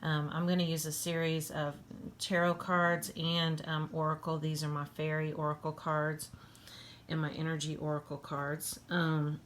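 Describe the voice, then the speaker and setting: A woman with a hoarse voice giving a casual tarot reading, halting with many ums and frequent throat clearing. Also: deep voice, level tone, slight background noise. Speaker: tarot reader.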